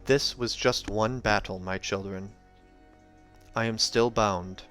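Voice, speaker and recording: A hoarse man voicing a bound ancient goddess, speaking slowly and solemnly in a hushed voice. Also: on the low side, steady tone, soft background music.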